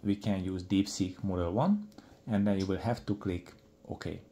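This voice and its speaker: A middle-aged man presenting a software tutorial in a deliberate, explanatory way. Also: low-pitched. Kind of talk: scripted software tutorial narration.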